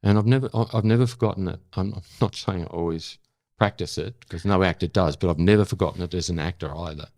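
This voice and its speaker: An older man, telling stories in conversation in a thoughtful, halting way that often corrects itself. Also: low-pitched, creaky, a little mellow, no echo, studio quality. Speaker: interview guest, former journalist and actor. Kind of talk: reflective conversational storytelling.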